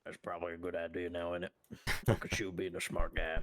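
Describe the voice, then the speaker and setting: A man's voice: low voice, flat delivery, a little fast, fairly bright tone. A man playing a sly, laid-back biker dealer in game roleplay, terse, nonchalant and cocky. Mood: teasing.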